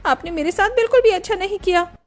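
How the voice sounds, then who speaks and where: A woman voicing an emotional young daughter-in-law in a cartoon story, eager, pleading and quick to take offence. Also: extremely high pitch, animated, pitched above the performer's own voice. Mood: hurt, angry. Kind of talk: Hindi cartoon story dialogue.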